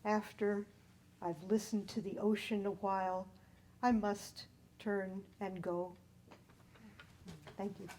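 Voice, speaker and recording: A woman reading her own poem at a live event, in measured, short, deliberate phrases. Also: medium pitch, little pitch movement. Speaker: poet reading own work. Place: live public reading at a library. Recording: noticeable room noise.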